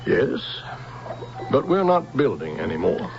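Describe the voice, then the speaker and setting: An actor playing a wary, courteous old scientist in a radio drama, hesitant and evasive in manner. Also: male voice, medium pitch, sing-song, unhurried, vocal fry. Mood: guarded. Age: older. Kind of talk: American old-time radio drama acting. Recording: muffled vintage radio broadcast, faint hiss.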